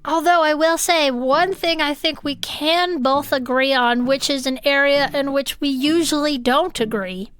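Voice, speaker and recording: A woman's voice: high-pitched, a little slow, hardly any pauses, a bit bright, soft background music. A woman playing an earnest, whimsical, adventurous host of a cryptid show, musing in quirky casual banter. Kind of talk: improvised comedic banter.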